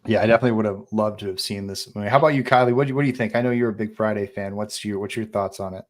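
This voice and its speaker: A man's voice: fairly low pitch, fairly even intonation, very fast. A man hosting a casual live group discussion, talking conversationally and asking the others what they thought. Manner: conversational, prompting others for opinions. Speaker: host of a live online table read and Q&A.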